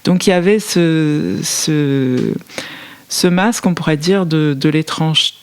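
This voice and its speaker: A woman's voice: sits low, measured pace, clear, resonant tone. A woman in her forties from Paris, an author answering interview questions in a reflective, hesitant way, with many fillers and restarts. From Paris, France. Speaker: author guest.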